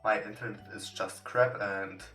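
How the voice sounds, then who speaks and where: A man who is not a native English speaker, casually commentating on a game and reading its text aloud in hesitant, halting English. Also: lowish voice, fairly even intonation. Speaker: let's play host. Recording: roomy, distant mic with music behind. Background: soft guitar game music.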